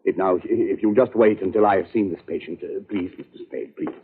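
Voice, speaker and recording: An actor playing a nervous, evasive doctor who stammers and flusters, in 1940s radio drama style. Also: male voice, sits low, brisk, husky. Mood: pleading. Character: nervous, hesitant male doctor, evasive and rattled. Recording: muffled, phone-like old radio recording.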